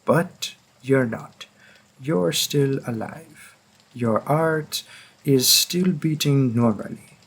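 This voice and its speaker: A man playing a centuries-old vampire hunter, coolly menacing, deliberate and wry, in a dramatic audio roleplay with a husky voice. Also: mid-range voice. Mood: intrigued. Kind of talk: slow dramatic second-person roleplay monologue.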